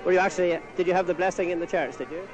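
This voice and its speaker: A man's voice: sits high, steady tone, rapid-fire, poor audio. A man working as a radio reporter, doing live interviews outdoors at a fair. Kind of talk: live outside broadcast interviewing. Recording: outdoor fair tape with background music.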